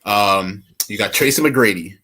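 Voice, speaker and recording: A man's voice: fairly low pitch, measured pace, pushed and bright, slight background noise. A man in his twenties chatting casually and rambling about basketball, laughing often. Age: 20s. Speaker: podcast co-host. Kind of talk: casual sports chat.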